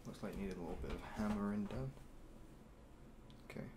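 A man in his twenties doing a soft-spoken ASMR roleplay as a mechanic, murmuring slowly and haltingly and sometimes slipping toward a whisper. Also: on the low side, background noise. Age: 20s. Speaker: ASMR roleplayer playing a robot repair mechanic.

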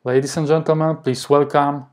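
A man's voice: fairly high pitch, little pitch movement, moderate pace, heavy background noise. A man in his thirties with a Polish accent, hosting a history channel and reading a scripted narration carefully from a home office on a lapel mic. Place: home office with desk and plant.

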